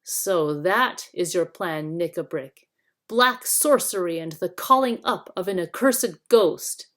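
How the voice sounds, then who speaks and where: A woman's voice: on the low side, expressive, takes it slowly, fairly bright tone. A woman voicing a noble young boy king in a storybook, stern, indignant and declaiming. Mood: stern anger.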